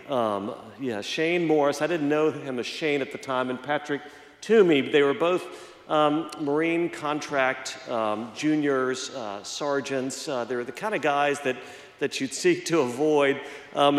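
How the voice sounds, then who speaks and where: A middle-aged man, slightly hoarse, preaching a reflective sermon in a church and telling a personal story with many fillers and restarts. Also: a little high. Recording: echoey church hall.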